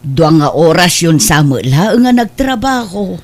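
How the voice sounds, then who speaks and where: A woman's voice: on the low side, very animated, a little slow, full character voice. An Ilocano-accented woman playing a frank older aunt who keeps a village store, in an audio drama. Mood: chiding. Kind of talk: Ilocano radio drama dialogue.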